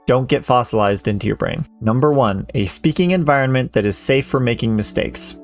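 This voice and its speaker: A man in his thirties, a language teacher, reading a measured, earnest voice-over lesson. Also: mid-range voice. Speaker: Japanese teacher. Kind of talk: scripted educational voice-over. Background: soft background music.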